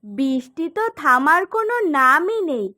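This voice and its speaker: A woman's voice: high voice, big pitch swings, moderate pace, bright, forceful tone, full character voice. A woman with a Bengali accent playing a concerned, homely village housewife in a folk-tale drama. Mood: worried.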